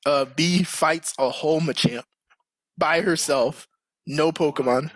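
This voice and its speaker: A man's voice: a little high, measured pace, a bit bright, no room sound. A man hosting a podcast in casual, slangy, informal chat. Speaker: podcast host.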